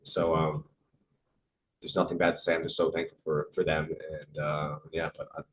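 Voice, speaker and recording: A man's voice: a bass voice, monotone, normal speed, a bit bright, slight background noise. A young American man, a professional soccer player, answering interview questions casually, with many fillers and repeated words. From United States.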